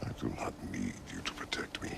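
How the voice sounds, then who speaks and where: An actor playing a stern, protective warrior father in a video game, gruff and gravelly, speaking in terse formal commands. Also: a little fast, fairly bright tone, soft background music, lightly acted. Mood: stern, pleading. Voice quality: deep, gravelly. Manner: terse, commanding, formal phrasing without contractions. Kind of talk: dramatic video game acting.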